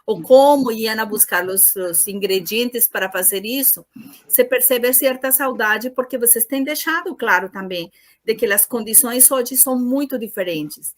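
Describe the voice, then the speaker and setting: A woman's voice: a little high, moderate pace. A woman with a Latin American Spanish accent, a native Spanish speaker hosting a class and searching a little for words as she speaks spontaneously. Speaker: course host introducing the lecturer.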